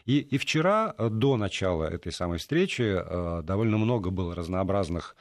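A man's voice: low-pitched, animated, normal speed, no room sound. Emphatic Russian man in a dry studio. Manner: emphatic, many hesitations and restarts. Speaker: political talk show co-host. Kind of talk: political studio discussion.

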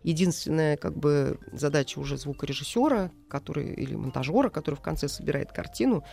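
A woman's voice: sits low, fast talker, gravelly, mellow, husky. A woman speaking as an interview guest, explaining a craft step by step with examples. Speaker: guest animation director and screenwriter.

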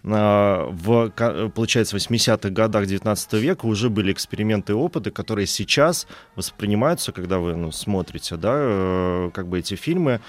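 A man in his thirties speaks as an expert guest in an interview. His voice sits fairly low, and he explains things haltingly, often pausing and drifting into asides. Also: animated. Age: 30s. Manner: halting, hesitant delivery with trailing asides. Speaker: guest expert, animation historian.

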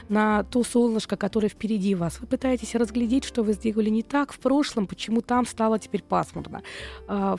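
A woman psychologist hosting a radio call-in show, who is slightly ill and gives advice in a calm, gentle tone with frequent hesitations. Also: medium pitch, creaky. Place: radio studio.

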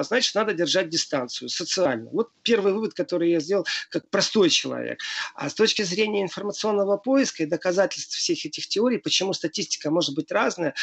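A man's voice: fairly high pitch, breathy edge. A man speaking as a guest on a radio talk show, earnestly explaining his views and hesitating often with filler sounds.

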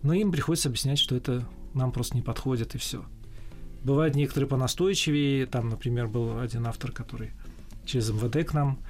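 A man's voice: mid-range voice, fast talker, faint music behind. A man answering as an interview guest, speaking conversationally in short, reflective, slightly hesitant fragments. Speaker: animation studio executive, interview guest.

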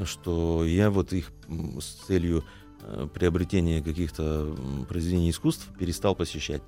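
A man speaking as an interview guest, explaining in a measured, halting way and choosing words carefully. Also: sits low, dark tone. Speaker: studio guest, art collector.

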